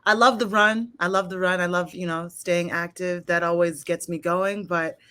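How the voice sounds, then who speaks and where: An American woman from Detroit speaks in a slightly low voice. Her style is casual and conversational, with searching, hesitant phrasing as she shares personal thoughts. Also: steady tone, normal speed, sharp tone, clear, resonant tone. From Detroit, Michigan, United States. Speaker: interviewer, actor.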